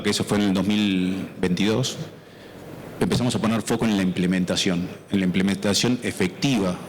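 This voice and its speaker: An Argentine man speaks on a conference panel in a somewhat low voice. He explains in an orderly, step-by-step way. Faint noise sits under the recording. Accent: Argentine Spanish. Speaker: panelist, farm business member. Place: conference auditorium.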